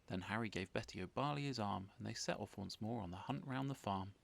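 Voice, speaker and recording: A man narrating a children's storybook in an expressive read-aloud style, with faint noise behind. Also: fairly low pitch, brisk, gravelly.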